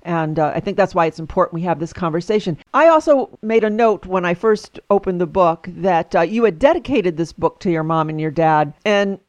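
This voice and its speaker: Excited lady host, kinda low voice, laughs a lot, super dry recording. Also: a little fast, few pauses, no echo, dull audio. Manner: enthusiastic, warm praise, laughs often. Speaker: podcast host. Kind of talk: interview conversation.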